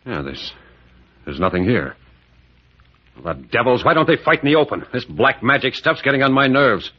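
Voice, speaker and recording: An actor in an old radio drama playing a tough, decisive, suspicious captain with clipped, urgent, plain-spoken delivery. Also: male voice, mid-range voice, big pitch swings, moderate pace, breathy edge. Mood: tense, irritated. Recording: muffled old radio recording.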